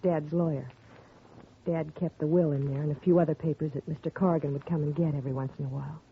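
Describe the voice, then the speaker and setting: An actress playing a grieving young woman whose father has been murdered, speaking softly and earnestly in a 1950s radio drama. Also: deep voice, fairly even intonation, quick pace. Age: young adult. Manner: soft and earnest, recounting quietly. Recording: tinny lo-fi 1950 radio broadcast with faint hiss.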